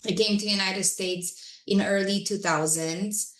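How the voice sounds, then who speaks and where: A woman's voice: medium pitch, fairly even intonation, a little slow, echoey room, poor audio. A woman from Romania, a guest telling her career story in an interview with frequent laughs. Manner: reflective, laughs often.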